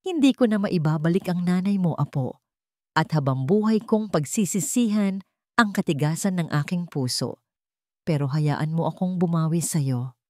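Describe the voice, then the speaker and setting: Lady reading as stern old grandpa don, calm but firm, low voice, dry recording. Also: normal speed, no room sound, close to an everyday voice. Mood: remorseful, tender. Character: stern, wounded old male patriarch, grandfatherly.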